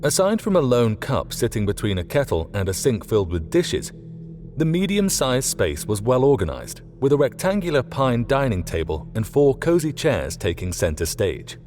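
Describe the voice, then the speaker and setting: A man's voice: medium pitch, very animated, normal speed, a little mellow. A man reading scripted fiction as an audiobook narrator. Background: faint music.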